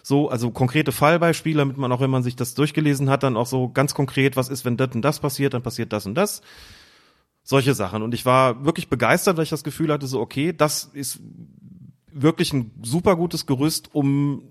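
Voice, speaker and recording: A man calmly explaining football rules in a casual podcast chat, hesitant and full of fillers. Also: mid-range voice, brisk. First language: German. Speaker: referee rules expert guest.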